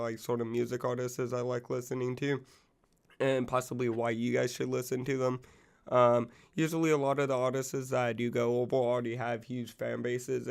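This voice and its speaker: Young man in his twenties, a little worn-down voice from being sick, loose rambling talk, monotone delivery, smooth low rasp. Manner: rambling, loose, full of fillers and profanity. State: recovering from illness, losing his voice. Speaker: music channel host. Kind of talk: casual music commentary.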